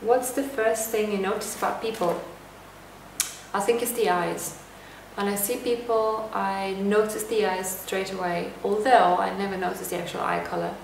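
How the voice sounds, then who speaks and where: Gravelly-voiced woman in an echoey room. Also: medium pitch, normal speed, a little hiss or hum. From Lithuania. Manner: calm, reflective, reads questions aloud. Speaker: lifestyle vlogger. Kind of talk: casual to-camera Q&A. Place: indoor room at home. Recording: echoey room, voice a bit distant.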